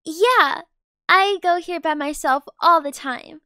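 A young woman voicing Hazel, a kind, lonely teenage schoolgirl, speaking softly and slowly. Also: extremely high pitch, full character voice. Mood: curious. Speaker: voice actor. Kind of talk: amateur voiced game movie.

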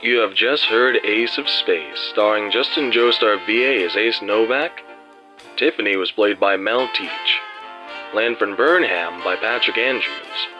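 Low-voiced male radio drama announcer over music. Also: unhurried, dull audio. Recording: music behind the voice.

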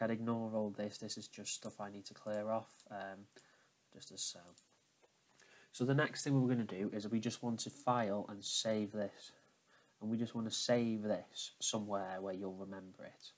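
A man talking viewers through a software tutorial step by step, in an informal, explanatory manner. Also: on the low side. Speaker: tutorial host. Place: indoors at a computer, voice over screen capture.

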